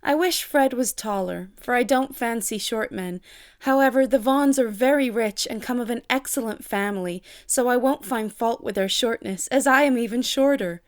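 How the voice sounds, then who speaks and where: A woman's voice: a little high, moderate pace, no room sound, studio quality, lightly acted. A woman playing a poised, polite and ambitious young lady in a period audio drama. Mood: gushing, genteel. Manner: genteel, proper.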